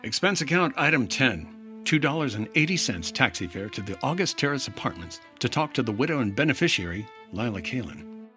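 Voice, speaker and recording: A man playing a dry, world-weary hardboiled insurance investigator in the manner of 1950s radio drama, deadpan and wry. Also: medium pitch, fairly quick, loud music behind the voice, lightly acted. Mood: dry, businesslike. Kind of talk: 1950s radio detective acting.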